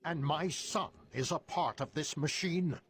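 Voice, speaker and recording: A man's voice: on the high side, unhurried, noisy background, lightly acted. A man playing an imperious, impatient and fiercely protective mutant father in a video game. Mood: urgent, angry. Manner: imperious, theatrical. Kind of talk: video game cutscene acting.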